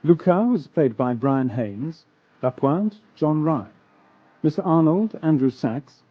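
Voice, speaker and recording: Lively-pitched British male radio announcer. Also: takes it slowly. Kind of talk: scripted credits announcement. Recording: dull, band-limited radio recording.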